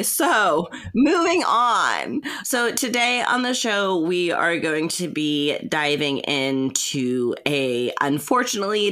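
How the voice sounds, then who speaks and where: A woman's voice: medium pitch, a bit bright. A woman hosting a podcast in casual chat, informal and sweary with a self-deprecating way of talking. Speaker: podcast host.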